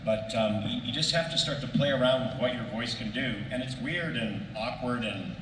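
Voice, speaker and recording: A man talks off the cuff as a panelist, rambling and halting as he goes, with a self-deprecating streak. His mid-pitched voice rings through an echoey hall, picked up from far away over clear noise. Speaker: voice actor on a convention panel. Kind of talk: casual unscripted panel advice. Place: convention panel room, PA sound.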